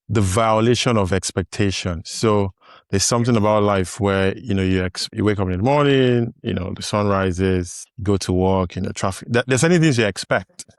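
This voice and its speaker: Low-voiced man hosting a podcast. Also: clear, resonant tone, no echo, clean studio sound. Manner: thoughtful, lots of fillers and restarts, laughs easily. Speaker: podcast host. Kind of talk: casual conversation.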